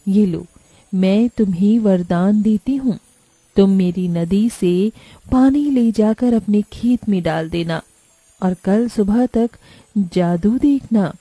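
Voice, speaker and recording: A woman voicing a gentle, benevolent river goddess in a cartoon story, slow and soothing. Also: medium pitch, dry recording, poor audio.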